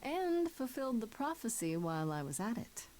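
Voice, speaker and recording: An actress playing a frank, inquisitive young muse in a story game, with natural, wry delivery. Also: female voice, a little high, sing-song, moderate pace, darkish tone, faint music behind. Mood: thoughtful. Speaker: voice actor. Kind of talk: casual, natural game dialogue.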